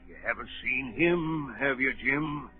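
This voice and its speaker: Man acting a gruff, menacing old sea captain, old radio. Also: mid-range voice, a little slow, phone-quality sound, natural voice. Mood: urgent, secretive. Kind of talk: 1930s radio drama acting. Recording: scratchy 1930s radio broadcast, music behind.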